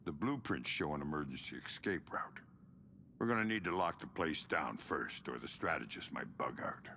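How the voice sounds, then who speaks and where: An actor playing a calm, disciplined veteran covert operative in a video game, giving terse, measured tactical talk. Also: male voice, rumbling low, a little hiss or hum, full character voice. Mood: calm, focused. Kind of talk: cinematic game acting.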